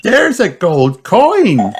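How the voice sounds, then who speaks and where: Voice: medium pitch, wildly swooping pitch, very slow, darkish tone, voice pushed up, full character voice. A storyteller voicing a cheerful, curious and bossy little girl pig in a children's storybook. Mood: excited, curious. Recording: clearly noisy recording.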